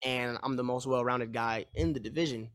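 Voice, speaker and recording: A man in his twenties, a fighter answering press questions on a call, confident and repeating himself in fast run-on answers. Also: mid-range voice, steady tone, a bit bright. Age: 20s. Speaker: mixed martial arts fighter.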